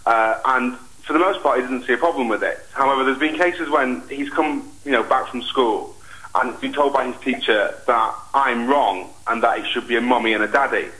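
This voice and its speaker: A young man calling a phone-in, giving an earnest, halting personal account. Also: medium pitch, bright, forceful tone, echoey room, rough recording. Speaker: phone-in caller. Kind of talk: personal account on a live call.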